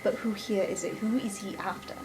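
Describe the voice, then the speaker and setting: A woman's voice: mid-range voice, fairly even intonation, a little fast, noisy background, natural voice. A woman voicing an earnest, anxious young student who pleads with a rival in a tabletop roleplay podcast. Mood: pressing.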